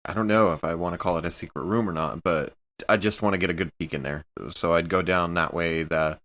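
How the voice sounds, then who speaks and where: A man's voice: low voice, steady tone, fairly quick, a bit bright. A man talking casually as a player in a tabletop role-playing game.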